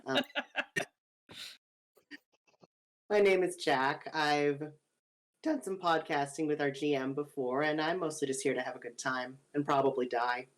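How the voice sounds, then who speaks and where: Low voiced woman, deadpan jokey player intro. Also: measured pace, long pauses. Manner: dry, joking. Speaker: player, first aid character.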